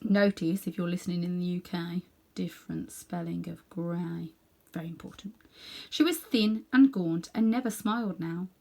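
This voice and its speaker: A woman reading a children's story aloud in an animated way, with dramatic pauses and hushed little asides. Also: lowish voice, gravelly. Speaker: storybook reader.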